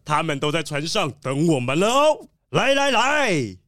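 Guy doing a proud panther ship owner for a kids audio story, highish male voice, super lively and theatrical. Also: a little slow. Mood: hearty, proud. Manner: theatrical, exclamatory, welcoming. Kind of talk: children's audio drama acting.

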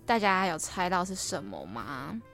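A woman's voice: on the low side, sing-song, unhurried, a bit bright, faint music behind. A young adult woman, chatting casually and playfully as a podcast host, teasing herself as she goes.

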